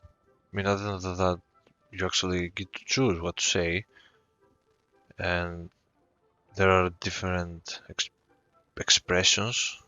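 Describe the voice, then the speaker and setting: Casual gamer commentator, low and sharp-toned, very dry recording. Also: level tone, slow pace, long pauses, smooth, no room sound, muffled recording, faint music behind. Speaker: gamer reacting to a story quest.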